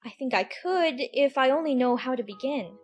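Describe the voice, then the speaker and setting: A woman playing a curious, earnest young girl who thinks aloud to herself in a dramatized audiobook, in a high voice. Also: lively intonation, normal speed, breathy edge. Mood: wistful.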